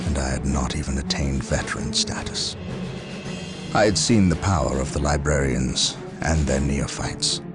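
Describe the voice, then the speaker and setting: An actor playing a battle-hardened space marine commander, solemn, formal and resolute, in a video game cutscene. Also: male voice, a bass voice.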